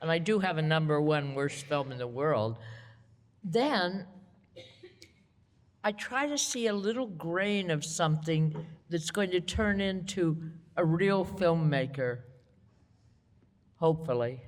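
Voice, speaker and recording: A woman's voice: low voice, animated, takes it slowly, pauses often, in a large hall. An older American woman from the Midwest, talking as a guest with a wry, teasing, blunt humor. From United States Midwest. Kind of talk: live onstage conversation. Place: outdoor terrace event.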